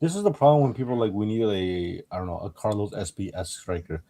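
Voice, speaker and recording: A man's voice: on the low side, quick pace, warm tone. A man on a football podcast, insistently arguing his point in a casual group debate.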